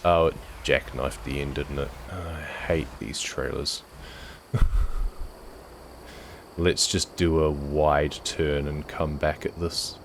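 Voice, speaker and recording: Hoarse deep-voiced man streaming a game. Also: slow, long pauses, a little hiss or hum. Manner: relaxed, chatty, talks to himself while driving. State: hoarse, voice nearly gone after a cold. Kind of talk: casual gameplay commentary.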